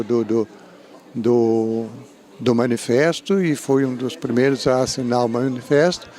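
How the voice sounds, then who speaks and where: A middle-aged man with a Brazilian Portuguese accent, an education professor giving an interview, speaking in measured academic phrases with frequent restarts and "né?" tags. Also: on the high side, darkish tone. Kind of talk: interview, spoken analysis.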